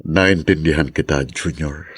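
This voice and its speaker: A man's voice: very deep voice, a little slow, husky, heavily acted. An actor playing a middle-aged ex-convict father in a Filipino radio drama, earnest and remorseful with a hot-headed streak. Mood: tearful, tender.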